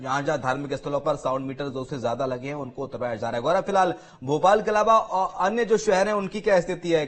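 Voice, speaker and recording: A male news anchor interviewing field reporters live on air. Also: a little high.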